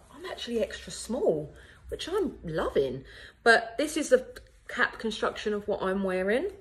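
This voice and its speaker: A woman's voice: mid-range voice, poor audio. Chatty woman in a salon. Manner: chatty and upbeat, frequent ums. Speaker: wig salon owner. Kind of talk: casual how-to video. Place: wig salon, indoors. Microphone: phone camera at arm's length.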